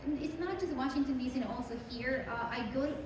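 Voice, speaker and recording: A woman's voice: high voice, quick pace. Giggly woman in an echoey hall. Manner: giggly, casual, hesitant word searching. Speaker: convention panel guest. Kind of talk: answering fan questions at a panel. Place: large convention panel room. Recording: echoey hall from far off, noisy.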